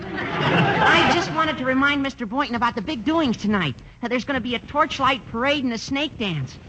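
An actor playing an eager, talkative high school student with a pompous, wordy manner in an old radio comedy. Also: male voice, lively intonation, normal speed, a loud crowd, heavy background noise. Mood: chipper.

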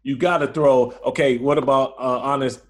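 A man's voice: mid-range voice, steady tone, normal speed. American man, podcast guest, easygoing and hesitant, mid-range voice. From United States. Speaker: guest basketball player. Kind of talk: casual interview chat.

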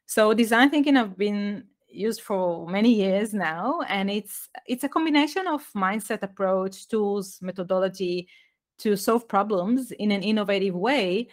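Israeli-accented woman explaining earnestly. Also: medium pitch. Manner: earnest, explanatory, with light laughs and slightly non-native phrasing. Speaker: guest, education author. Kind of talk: podcast interview.

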